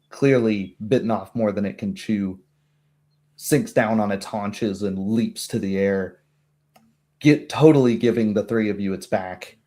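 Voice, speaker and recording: A man with a fairly low voice works as game master for a tabletop fantasy game. He narrates at a very unhurried pace, with dramatic and descriptive phrasing. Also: a little mellow.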